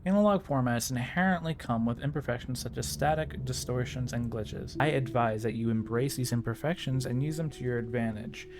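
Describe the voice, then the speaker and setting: A man's voice: mid-range voice, brisk, few pauses, noisy background. A man hosting a video, narrating scripted tips in a tutorial style. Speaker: video host giving tips.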